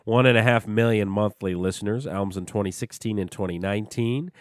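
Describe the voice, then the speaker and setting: A man hosting a music podcast in a relaxed, chatty way, with a stuffed-up voice from a cold and plenty of filler words. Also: lowish voice, brisk, no room sound, studio quality. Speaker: podcast host. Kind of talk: casual music show chat.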